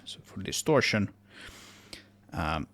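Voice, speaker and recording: Deep gravelly guy doing a screen tutorial, kinda thinking out loud and correcting himself. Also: sing-song, slow, a little hiss or hum. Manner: hesitant, self-correcting, trailing off as he works. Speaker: tutorial presenter, game audio developer. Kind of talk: casual screen-recorded software tutorial, thinking aloud.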